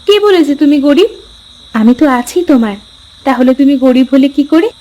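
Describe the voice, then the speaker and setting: A woman as a high-voiced devoted village wife. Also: animated, a little fast, warm tone. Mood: affectionate. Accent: Bangladeshi. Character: young farmer's wife, long-suffering, devoted, hopeful. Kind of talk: Bengali cartoon fairy-tale dialogue. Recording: background music under the voice.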